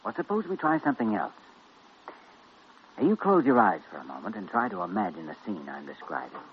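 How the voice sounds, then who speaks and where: An actor playing a firm, caring hospital doctor, earnest and soothing, in 1940s radio drama style. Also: male voice, lowish voice, very animated, brisk. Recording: muffled, hissy old radio recording.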